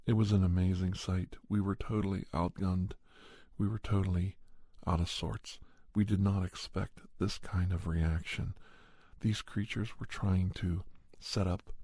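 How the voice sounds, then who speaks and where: Man, ASMR horror narrator, dark mellow tone, close dry sound, soft breathy gravel, dramatic broken phrasing. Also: sits low, no echo. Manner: dramatic, deliberate, with short broken phrases and pauses. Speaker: storyteller and podcast host. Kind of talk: scripted horror story narration in ASMR style.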